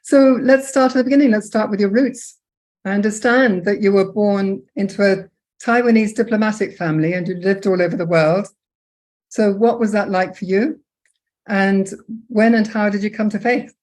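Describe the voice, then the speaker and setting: A woman's voice: medium pitch, fairly even intonation, normal speed, a little mellow. A woman hosting an interview in a conversational style, polite and affirming with her guest. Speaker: interviewer, host.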